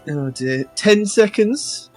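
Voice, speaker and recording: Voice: medium pitch, lively intonation, slow, darkish tone, soft background music. A podcast host running a comedy game, calling time and prompting the judge. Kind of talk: casual podcast banter.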